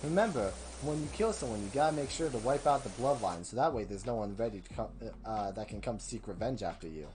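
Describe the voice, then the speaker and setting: A young man casually commenting while playing a video game on a live stream, rambling, swearing and thinking out loud. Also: on the low side, expressive, quick pace, hardly any pauses, a little hiss or hum.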